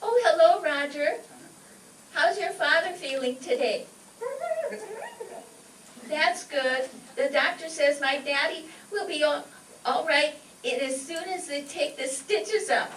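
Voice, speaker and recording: A woman's voice: sits high, takes it slowly, pauses often, fairly bright tone. A woman playing a chatty, mischievous little girl in an old-time radio comedy. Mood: innocent, chatty. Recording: roomy, distant mic, noisy live stage.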